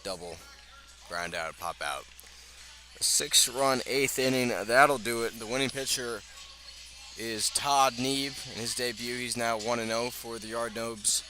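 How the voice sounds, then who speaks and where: A man's voice: mid-range voice, measured pace, sharp tone, faint music behind. A man doing live radio baseball play-by-play in short, clipped phrases. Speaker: sports play-by-play announcer.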